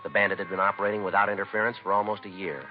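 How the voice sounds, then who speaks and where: Guy acting as deadpan american cop from old radio show, really low voice, talks quick and clipped. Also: soft background music, close to an everyday voice. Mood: matter-of-fact. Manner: deadpan, clipped, matter-of-fact. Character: terse, dogged male police detective sergeant, dry and businesslike. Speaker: detective and narrator. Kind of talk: 1950s radio crime drama acting, clipped narration. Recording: dull, noisy vintage radio recording.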